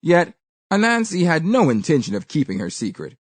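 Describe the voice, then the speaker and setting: A woman reading a children's story aloud with expressive, deliberate and dramatic emphasis. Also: low voice, no room sound.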